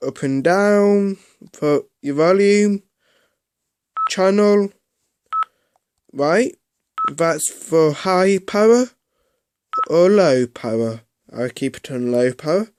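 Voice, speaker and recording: A man giving a casual, unscripted product review in a halting way, often repeating himself. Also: high voice, expressive, a little mellow, slight background noise.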